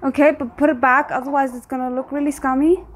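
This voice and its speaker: Female reaction host, higher-pitched, casual, addresses on-screen characters directly. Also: level tone, fast. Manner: talks back to the characters on screen.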